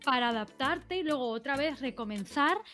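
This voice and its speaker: Woman w a higher voice, chatty and fluent, faint music in the back. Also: animated, moderate pace, bright, forceful tone. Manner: fluent, summing up and steering the talk. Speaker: doctoral researcher. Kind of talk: casual conversation.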